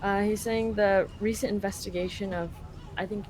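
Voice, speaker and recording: A woman's voice: mid-range voice, fairly even intonation, normal speed, darkish tone, a little hiss or hum. A woman from Canada casually translating a game live, paraphrasing loosely with lots of fillers. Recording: game music behind.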